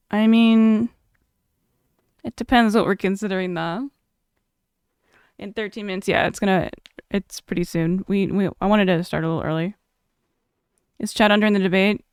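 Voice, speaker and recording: A woman's voice: mid-range voice, fairly even intonation, normal speed, long pauses, clean tone, dry recording, clean studio sound. A young woman streamer chatting casually, reading chat aloud and laughing often.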